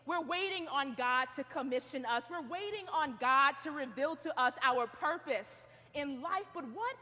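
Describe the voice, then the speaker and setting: Bright young woman preaching in a chapel. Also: high voice, normal speed. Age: young adult. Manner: animated storytelling preacher, acting out voices. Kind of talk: sermon. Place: large stone chapel. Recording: long hall reverb.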